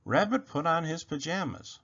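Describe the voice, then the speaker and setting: A man's voice: fairly high pitch, big pitch swings, normal speed, vocal fry, a bit bright. A man reading a children's story aloud as narrator, expressively, on a slightly dull lo-fi recording.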